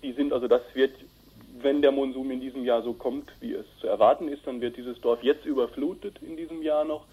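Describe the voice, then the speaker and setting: A man's voice: medium pitch, steady tone, a little fast, warm tone, slightly rough, poor audio. A man giving matter-of-fact, explanatory answers in an informal radio interview. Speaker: interviewed activist reporting on an Indian dam project.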